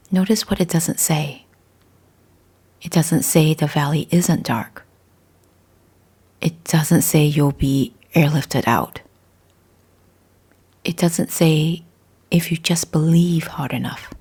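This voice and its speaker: Low, husky woman guiding meditation slowly. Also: mellow. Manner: slow, deliberate, short phrases with pauses. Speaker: guided meditation host. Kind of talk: scripted guided meditation, gentle spiritual talk.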